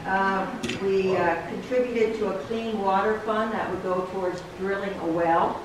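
A woman's voice: mid-range voice, fairly even intonation, moderate pace. A middle-aged woman giving a chatty, enthusiastic announcement at a church pulpit. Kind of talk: casual announcement to a congregation. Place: small wooden church sanctuary, at a pulpit. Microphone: stand mic at the pulpit, far camera. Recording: echoey church room from a distant camera, background noise.

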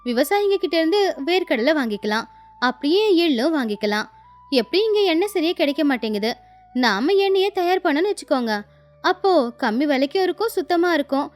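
A woman acting a shrewd young village wife in a moral story cartoon, coaxing and advising with confidence. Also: very high voice. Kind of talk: acted dialogue in a Tamil moral story cartoon.

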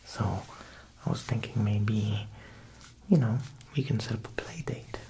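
A young man playing a smooth, quietly menacing Italian mob boss tailor in an ASMR roleplay, speaking softly and unhurriedly at a close mic, with insinuating pauses. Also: lowish voice, lively intonation, a little hiss or hum. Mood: probing, sly. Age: young adult. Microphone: close ASMR microphone.

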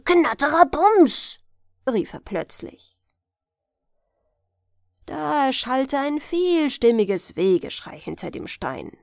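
A woman narrating a fairy tale as a gentle bedtime audiobook reading. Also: fairly high pitch, creaky, no echo, clean studio sound. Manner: slow, melodic storytelling.

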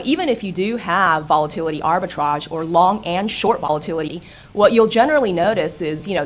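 A woman's voice: sits low, slight background noise. A woman in her thirties answering on a conference panel at a table mic, with many hesitant fillers. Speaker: panelist, product specialist.

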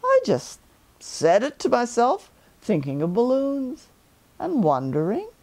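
Woman voicing a high-pitched, honey-loving little storybook bear. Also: huge pitch swings, takes it slowly, a little mellow, exaggerated voice. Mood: earnest. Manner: singsong, exclamatory, pondering aloud.

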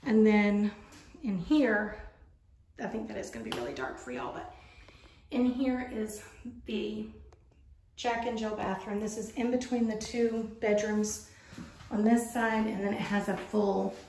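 A woman's voice: mid-range voice, unhurried, pauses often, a little mellow, slight background noise, rough recording. A woman giving a chatty, rambling walkthrough of an empty model home, filming on a handheld phone. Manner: chatty, rambling, many fillers. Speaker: vlogger touring a mobile home. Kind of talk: casual home tour walkthrough. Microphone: handheld phone camera.

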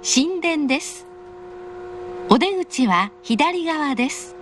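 High-pitched woman making formal train announcements. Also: expressive, takes it slowly, a bit bright. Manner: polite, formal, carefully enunciated. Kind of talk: recorded in-car station announcement. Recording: faint train noise. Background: train car ambience.

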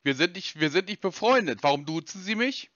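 Brief: German-speaking man, higher voice with a very lively pitch, stern and interrogating, dry muffled line. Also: a little fast, dry recording. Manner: insistent, interrogating, formal. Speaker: scam-baiting caller. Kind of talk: prank phone call.

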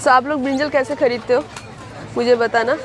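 A woman's voice: sits high, steady tone, normal speed, background noise. A woman from India in her thirties chatting to viewers as she shops for vegetables at an outdoor farmers market, filmed on a handheld camera. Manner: chatty, talks to viewers while handling produce. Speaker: vlogger. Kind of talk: casual vlog chat while shopping. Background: market crowd chatter.

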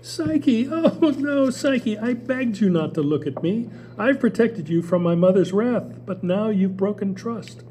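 A man's voice: high voice, lively intonation, measured pace, few pauses, mellow, a little hiss or hum, pitched above the performer's own voice, poor audio. An older man reading the part of Eros, a young god of love who has been betrayed, in an anguished, reproachful voice on a small theater stage. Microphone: table mic.

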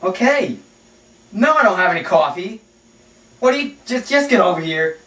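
A man's voice: sits high, lively intonation, normal speed, bright, forceful tone. A young man playing a bossy, grumpy father who scolds his kid and swears at the plumber, taped on a far-off camcorder in a house. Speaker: skit actor. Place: small house rooms, indoors. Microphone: far camcorder mic. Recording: echoey room, far-off camcorder.

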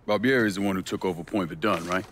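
A man's voice: deep voice, fast talker, a bit bright, slight background noise. A man playing a tough, cold, determined veteran out for revenge in a video game, speaking in blunt, terse lines. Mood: businesslike, grim. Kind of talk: video game cutscene acting.

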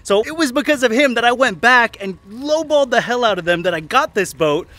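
A man in his forties vlogging in an excitable, animated, jokey way, filming himself in a car and on a boat. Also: very high voice, a little fast, no echo. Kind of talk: travel vlog narration. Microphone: camera held at arm's length.

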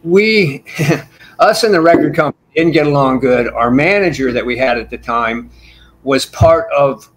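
A man's voice: a little high, lively intonation, moderate pace, poor audio. Talkative older man on a video call. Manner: talkative, rambling storyteller. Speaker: bassist guest. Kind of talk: casual interview chat. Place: small home room with shelves. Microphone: video call with desk mic.

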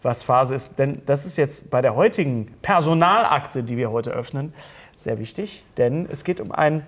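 A middle-aged man hosting a casual fan talk show, jokey and animated as he asks questions in a small studio. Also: medium pitch, moderate pace. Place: small indoor studio set at a table.

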